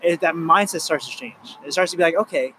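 A young man in his twenties talks in a loose, rambling way, circling back over his points with lots of "you know" and "like." His voice sits a bit high for a man, and a faint hiss runs under the recording. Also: fairly quick. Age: 20s. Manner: rambling, earnest, many fillers and restarts. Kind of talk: casual chat.

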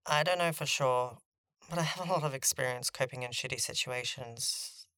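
A woman's voice: rumbling low, moderate pace, a bit bright, no echo. A woman voicing a practical, world-weary youngster, a terse and wry boy in a fantasy audio drama. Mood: guarded. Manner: terse, matter-of-fact, dry.

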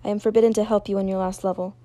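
A woman's voice: medium pitch, level tone, a little fast, vocal fry, dark tone, dry recording. A woman playing a devoted, trapped female companion in a story, earnest and reassuring. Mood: urgent, loving.

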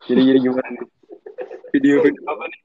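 A man's voice: mid-range voice, little pitch movement, fast talker, dull audio, noisy background. A young man with a Jakarta Indonesian accent co-hosting a podcast in casual, slangy banter, mixing in English words. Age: young adult. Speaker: podcast co-host.

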